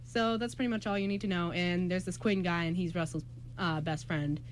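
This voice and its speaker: A woman's voice: fairly low pitch, steady tone, fairly quick. Young woman chatting casually, background noise. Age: young adult. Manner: chatty, informal, explains in loose rambling asides. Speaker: radio drama show host and writer. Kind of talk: casual chat. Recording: noisy room recording.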